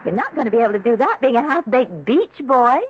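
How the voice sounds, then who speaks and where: A pampered young American heiress played by a woman, high-voiced and swooping, coaxing and playful yet set on getting her way. Vintage radio drama acting on a dull, hissy recording. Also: animated, fast talker, natural voice. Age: young adult.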